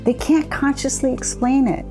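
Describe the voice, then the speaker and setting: A woman co-founder giving a measured, explanatory account in a scripted promotional conversation. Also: high-pitched, fairly even intonation, dark tone, faint music behind. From immigrant. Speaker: co-founder of a coaching method.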